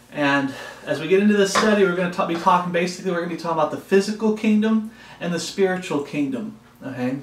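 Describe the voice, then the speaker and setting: Echoey, high-pitched middle-aged male preacher. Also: slight background noise. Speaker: preacher, Bible study host. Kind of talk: informal Bible study sermon. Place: small home office, indoors. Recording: roomy, echoey indoor sound.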